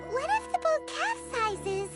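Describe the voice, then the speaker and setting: A woman voicing a tiny, naive, childlike fairy companion with a squeaky voice in an anime video game. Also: sing-song, slow pace, over music, exaggerated voice. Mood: worried.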